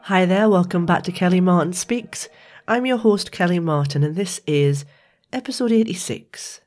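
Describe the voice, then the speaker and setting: A woman hosting a reflective solo podcast, talking in a gentle, measured way while congested and croaky from a viral infection. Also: on the low side. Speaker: podcast host.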